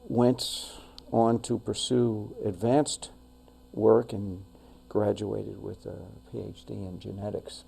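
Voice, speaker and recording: An older man with a New York accent, a retired pastor talking as an interview guest, measured and full of fillers and restarts. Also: fairly low pitch, flat delivery, vocal fry, warm tone, slight background noise. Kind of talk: casual interview talk.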